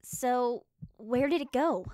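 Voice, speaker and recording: A woman's voice: on the high side, lively intonation, takes it slowly, warm tone, no echo, clean studio sound. A woman playing a protective, blunt female adventurer with a challenging way of talking.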